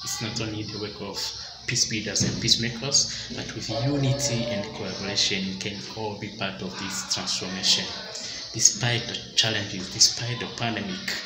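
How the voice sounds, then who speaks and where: A young man from Burundi giving a calm, measured recorded peace wish indoors. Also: fairly low pitch. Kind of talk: recorded video message. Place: indoor room with plant. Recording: echoey room with loud music behind.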